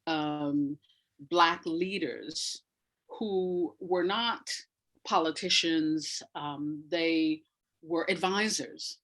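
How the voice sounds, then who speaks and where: A woman's voice: low-pitched, extremely slow, a bit bright. A woman speaking as an interview guest, explaining in an emphatic way and repeating phrases for effect. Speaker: interview guest, audio drama maker. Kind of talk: animated explanatory interview talk.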